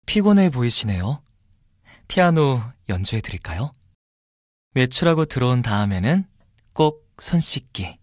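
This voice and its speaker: A courteous young man from a mobile game, speaks slowly and softly in a very dry, close recording. He is fastidious and sweetly possessive, measuring each phrase with intimate care. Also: medium pitch, no echo. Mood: gentle, affectionate. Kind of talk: mobile game character voice lines.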